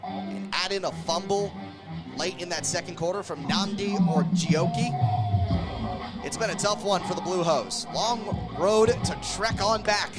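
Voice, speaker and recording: High-voiced man calling football on radio. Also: a little slow, over loud music. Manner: excited, energetic calling of the action. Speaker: play-by-play sports radio announcer. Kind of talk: live football radio commentary. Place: live at a football game.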